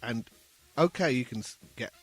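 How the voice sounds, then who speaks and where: A man's voice: mid-range voice, lively intonation, normal speed, faint music behind. A middle-aged man talking casually as an interview guest, earnest and repeating words as he gets going. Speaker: musician guest, saxophone busker.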